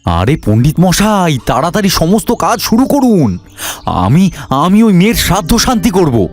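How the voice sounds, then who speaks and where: A man's voice: high-pitched, wildly swooping pitch, moderate pace, faint music behind. A man voicing a crafty, earnest married village grandfather in a cartoon, with theatrical delivery. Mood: urgent. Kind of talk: Bengali cartoon voice acting.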